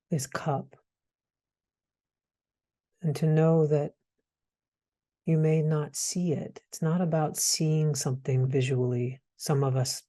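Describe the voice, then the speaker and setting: A man guides a meditation in a dark, relaxed voice. His delivery is very slow and gentle, with soft pauses between hesitant phrases. The melody stays quite flat and even throughout. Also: a little high.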